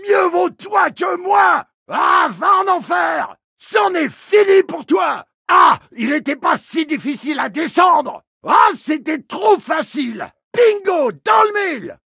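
High-pitched shouting fierce infantry soldier, male. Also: very animated, slow, creaky, few pauses, dry recording, clean studio sound, heavily acted. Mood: vicious, triumphant. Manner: urgent, aggressive, barking in short bursts. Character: fierce, battle-hardened male French-army auxiliary infantry soldier. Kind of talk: shouted war game combat barks.

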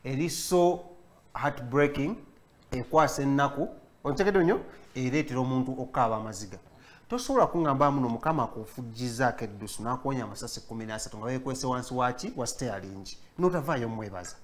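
A young man from Uganda hosting an entertainment show in a TV studio, talking in a teasing, animated way. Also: medium pitch, fast talker. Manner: animated, mixing two languages, teasing. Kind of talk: lively studio chat. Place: bright TV studio.

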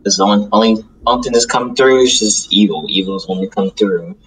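Low-voiced, stammering man as an insecure demon. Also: a little fast, fairly bright tone, close to an everyday voice, rough recording. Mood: troubled. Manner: hesitant, stammering, repeats phrases. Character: insecure, earnest good demon shunning his evil kind. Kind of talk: improvised Minecraft anime roleplay. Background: music.

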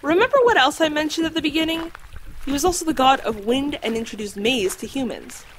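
A woman voicing a learned talking dog in an audio drama, lecturing precisely and calmly on lore. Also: sits high, a little fast, lightly acted. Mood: lecturing, composed. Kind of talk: audio drama acting, explanatory storytelling.

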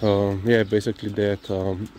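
A man's voice: fairly low pitch, flat delivery, warm tone. A man with a Slovenian accent rambles casually and hesitantly in a walk-and-talk vlog, often filling in with "you know". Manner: hesitant, halting delivery with frequent "you know". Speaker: dog owner and vlogger. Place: outdoors, on a walk. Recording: clearly noisy outdoor ambience, vehicle sounds.

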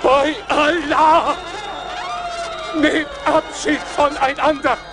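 High-voiced, alarmed male ship's captain. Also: flat delivery, over music, crowd noise, exaggerated voice, poor audio. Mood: desperate alarm. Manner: drawn-out, high crying out. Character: alarmed ship's captain crying doom to his crew. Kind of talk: dramatic audio play acting.

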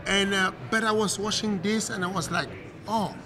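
Man, French accent, high pitch, animated coach, repeats words, hotel room interview, crowd murmur behind. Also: normal speed. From Cameroon. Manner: animated, gestures while talking, repeats words while searching for English. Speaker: MMA coach. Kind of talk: casual interview. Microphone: desk mic on stand.

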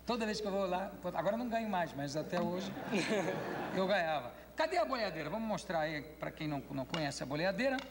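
An older man hosting a late-night talk show in a large studio, asking quick questions and teasing his guest. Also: high voice, lively intonation. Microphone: desk microphone. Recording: studio hall reverb, faint noise.

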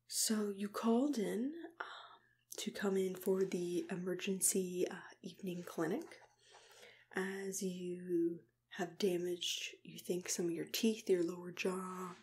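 A young woman in an ASMR roleplay as a dentist, speaking in soft whispers in a gentle, unhurried, reassuring manner, close to the microphone. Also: fairly low pitch, fairly even intonation. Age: young adult.

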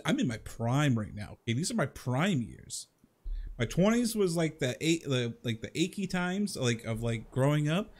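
A man's voice: a little high, big pitch swings, moderate pace, husky. A man in his thirties chatting casually to viewers as he plays a game, rambling and repeating himself in an informal way. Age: 30s. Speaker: video game livestream host.

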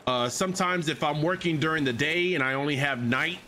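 A man's voice: on the high side, a little fast, bright, forceful tone, a little hiss or hum. A man in his thirties live-streaming a game on a headset mic, chatting casually, repeating his phrases and swearing. He says he is losing his voice and keeps coughing. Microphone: headset microphone.

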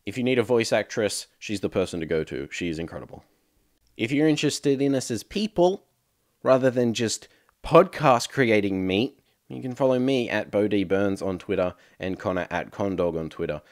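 A man's voice: fairly low pitch, lively intonation, normal speed, no echo, studio quality. A man hosting a casual podcast outro with wry, joking asides. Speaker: podcast host and creator.

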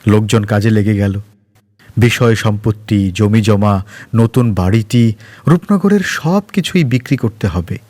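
A man's voice: on the low side, unhurried, a little mellow. A man reading a scripted story as a narrator, with music behind him. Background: background music.

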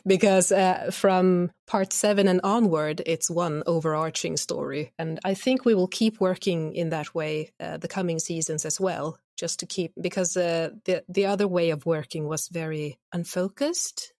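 Female interview guest, lower register, informal and laughs easily, dry sound. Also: fairly even intonation, normal speed, few pauses, darkish tone, no room sound. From Scandinavia. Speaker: podcast guest, audio drama creator and voice actor. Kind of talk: casual interview chat.

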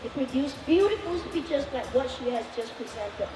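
A woman's voice: high-pitched, animated, moderate pace, far from the mic. A middle-aged woman hosting a stage event, introducing a student and promoting a speaking program. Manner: upbeat, promotional. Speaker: event host, speaking coach. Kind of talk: emcee introduction. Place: indoor mall stage. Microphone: handheld mic, far camera. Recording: echoey mall heard from afar with music.

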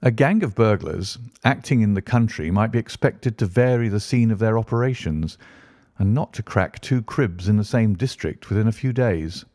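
A man voicing a keen, thoughtful gentleman detective, measured and precise, in an audiobook story. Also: on the low side, no echo, clean studio sound, natural voice. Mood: musing.

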